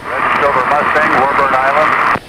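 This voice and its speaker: A man's voice: medium pitch, level tone, brisk. Male pilot on very noisy aircraft radio. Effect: aviation radio. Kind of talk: terse radio readbacks.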